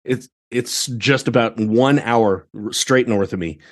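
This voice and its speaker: A man's voice: medium pitch, a little slow. An American man talking casually and with enthusiasm as a guest on a podcast. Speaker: podcast guest, theatre director. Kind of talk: casual chat.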